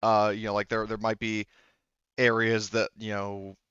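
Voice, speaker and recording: A low-voiced man runs a tabletop roleplaying game as game master. He improvises in an informal, halting way, rephrasing as he relays what a character says. The sound is dry and a little muffled. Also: completely flat delivery, fairly bright tone, clear, resonant tone, no echo.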